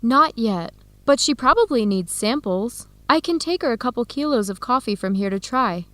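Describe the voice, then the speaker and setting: A woman's voice: on the high side, animated, moderate pace, fairly bright tone, no echo. A woman playing a friendly, considerate young Ethiopian American student in an educational radio drama, speaking earnestly. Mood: enthusiastic.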